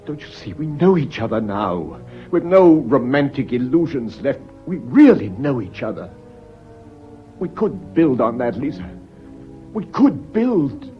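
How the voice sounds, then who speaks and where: A desperate widower in a vintage radio melodrama. His mid-pitched voice swings widely, urgent, intense and theatrical, on a dull old recording. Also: very animated, unhurried, soft background music. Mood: desperate, pleading. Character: desperate, intense British widower and pleading lover. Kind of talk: vintage British radio drama acting.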